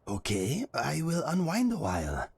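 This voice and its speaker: A man's voice: fairly high pitch, huge pitch swings, vocal fry, breathy edge, exaggerated voice. An actor voicing an eccentric, playful cat-man companion in a fantasy video game, with formal and courteous phrasing. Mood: obliging, calm. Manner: formal, measured phrasing without contractions.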